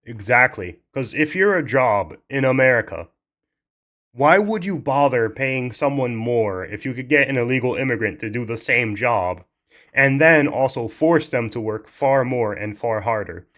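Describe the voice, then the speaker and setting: Young male commentator, mid-pitched, heated casual rant, swears, restarts lines. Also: animated, moderate pace, a bit bright. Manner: heated, blunt, swears freely, restarts sentences.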